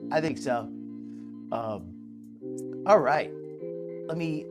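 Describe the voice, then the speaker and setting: A slightly hoarse man hosting a live reaction stream, chatty and mocking, greeting viewers in chat by name. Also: mid-range voice, animated, very slow, music in the background. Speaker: commentary livestream host. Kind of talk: casual live reaction commentary.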